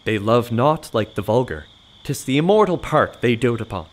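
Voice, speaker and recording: A man plays a sham sage in a theatrical farce. His mid-pitched voice rises and falls dramatically as he declaims in lofty period English, commanding and grandiose. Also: expressive, moderate pace, lightly acted. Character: smooth-talking male con man posing as grand learned mystic.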